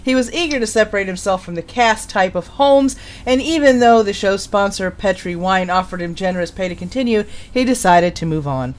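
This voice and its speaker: A woman's voice: mid-range voice, expressive, fairly quick. A woman hosting a live stream, chatting casually and introducing material in an informal, jokey way. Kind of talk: casual live-stream chat and reading show history.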